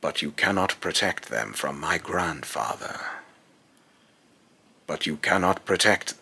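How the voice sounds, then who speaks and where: A man's voice: a bass voice, lively intonation, bright, forceful tone, heavily acted. A young man playing a menacing, scornful godlike villain in pompous, theatrical monologue, recorded in a small room at home. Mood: scornful, accusing. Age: young adult. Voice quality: gravelly, raspy. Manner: slow, pompous, theatrical delivery. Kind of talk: dramatic villain monologue, rehearsed voice lines.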